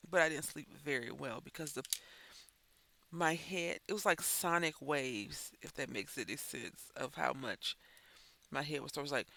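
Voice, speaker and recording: A man's voice: on the high side, normal speed, vocal fry, bright, forceful tone, no room sound. A man chatting while coloring as host of a crafting channel, rambling and thinking aloud, sounding stuffy and congested. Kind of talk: casual chat while coloring.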